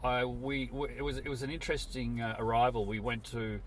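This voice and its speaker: A man's voice: medium pitch, steady tone, fairly quick. A man in his sixties, born in Scotland, telling rambling stories with self-deprecating humour as an interview guest, recorded outdoors by a road. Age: 60s. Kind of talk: casual storytelling chat. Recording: distant mic with street noise.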